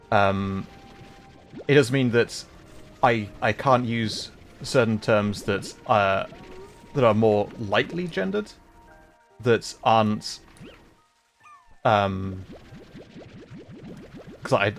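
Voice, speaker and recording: Low-voiced man chatting casually on a stream. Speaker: livestream host, VTuber and audio voice performer. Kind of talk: casual livestream chat while playing a video game. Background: music behind the voice.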